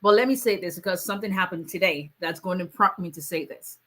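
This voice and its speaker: Woman immigration attorney, bright voice, casual live Q&A. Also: lowish voice, fast talker. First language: Yoruba. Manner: conversational, many fillers, reads out viewer questions. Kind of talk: live Q&A stream.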